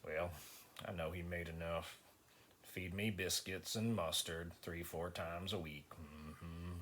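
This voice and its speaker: A man performing a dramatic monologue as a slow-witted, stoic rural man with a Southern US accent, halting and deadpan, grunting hums between phrases. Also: low-pitched. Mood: stoic reminiscing. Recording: faint background noise.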